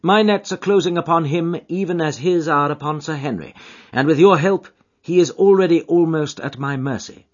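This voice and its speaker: A man's voice: fairly high pitch, normal speed, dull audio, voice pushed up, close to an everyday voice. A man voicing a keen, commanding detective who speaks in formal Victorian phrases, emphatic and urgent, in an audiobook. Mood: grave, insistent. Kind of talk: dramatised audiobook character dialogue.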